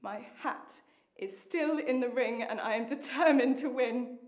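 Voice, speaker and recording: Intense British wife, high-voiced, acted by a woman. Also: lightly acted. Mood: determined. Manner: intense, deliberate, with dramatic pauses. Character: Maggie, lonely, bitter, longing, determined young wife. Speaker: acting student. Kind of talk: dramatic stage monologue. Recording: echoey room.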